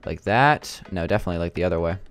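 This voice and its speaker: Young male gaming host, low voice, a touch raspy, hesitant, unhurried chatter. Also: lively intonation, faint music behind. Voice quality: slightly raspy from yelling. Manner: thinking aloud, hesitant, many fillers. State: sore throat. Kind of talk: casual gameplay commentary.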